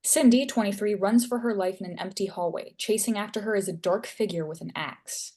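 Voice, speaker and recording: A woman's voice: mid-range voice, brisk, mellow. A woman reading stage directions as scripted narration.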